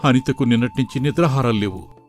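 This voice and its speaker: A man acts out a young son in a moral tale cartoon. He is lovesick and earnest about marrying, in a medium-pitched voice with faint music underneath. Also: fast talker, natural voice. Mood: pleading. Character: lovelorn young son pleading to marry his sweetheart. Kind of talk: moral story cartoon dialogue.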